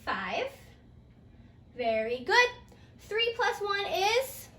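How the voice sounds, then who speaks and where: A woman's voice: very high voice, very animated, drawn-out words, bright, forceful tone. A woman teaching a playful fairytale math lesson step by step, encouraging her pupil with sing-song questions and repeated answers. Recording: roomy echo, faint background noise.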